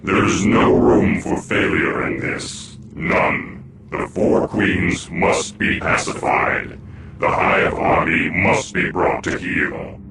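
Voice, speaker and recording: Ancient underworld tyrant for a fantasy game, cavernously echoing, extremely low, imperious and menacing. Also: male voice, steady tone, exaggerated voice. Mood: commanding. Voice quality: very deep, gravelly. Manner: slow, imperious, formal fantasy diction. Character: ancient, menacing male dark overlord of an underground realm. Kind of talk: theatrical fantasy game cutscene acting. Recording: noisy game audio with heavy echo.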